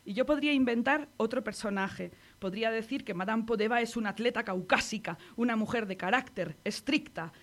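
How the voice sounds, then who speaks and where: A woman from Spain with a Spanish accent, reading her own poem aloud on the radio in a measured, intimate way. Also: a little high, fairly bright tone. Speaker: poet reading her work. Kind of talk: poetry reading.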